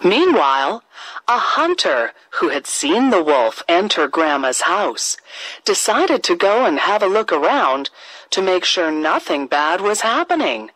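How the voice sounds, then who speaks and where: A woman tells a children's fairy tale in a very lively, melodic range. Her pace is slow and deliberate, with expressive phrasing, and the recording is dry and close. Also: on the low side, dry recording, low-quality recording. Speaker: storyteller.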